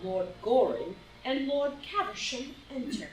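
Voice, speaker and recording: A woman narrates stage directions in a fairly high, lively voice. The recording is echoey, as at a live play reading, with clear music behind her. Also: a little slow, distant mic. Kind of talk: scripted drama narration.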